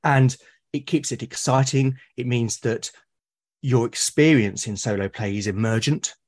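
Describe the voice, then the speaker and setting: A man's voice: mid-range voice, unhurried, a little mellow. Male guest, mid-range voice, emphatic and enthusiastic. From Britain. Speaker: podcast guest. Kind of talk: conversational interview.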